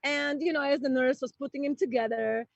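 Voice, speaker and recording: A woman from Croatia performs a playful stand-up set in a high, bright-sounding voice. She talks slowly and deliberately, then bursts into excitement. The audio is dry and a little dull, like a home streaming mic. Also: gravelly, no echo. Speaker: stand-up comedian.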